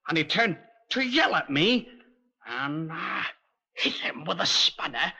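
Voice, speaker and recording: An actor playing a simple young army signaller in a 1950s radio crime drama, confessing to killing in a self-possessed, childlike, matter-of-fact way. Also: male voice, fairly high pitch, big pitch swings, slow, gravelly, bright, forceful tone, slightly rough, exaggerated voice. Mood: eerie, excited confession. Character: simple young husky male army signaller, unrepentant killer. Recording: dull lo-fi 1950s radio recording.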